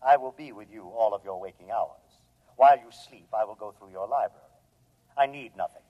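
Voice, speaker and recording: A man's voice: on the low side, a little fast, natural voice. Man acting a coldly polite, superior humanoid robot. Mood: detached, factual. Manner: formal, precise, matter-of-fact speech without contractions. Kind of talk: old-time radio drama acting. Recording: dull, lo-fi old radio recording.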